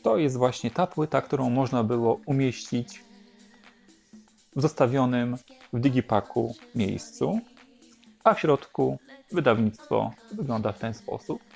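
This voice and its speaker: Male host, explanatory and unhurried, mid-pitched and relaxed, over light music. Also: creaky. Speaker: host presenting a record collection. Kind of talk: unhurried show-and-tell narration.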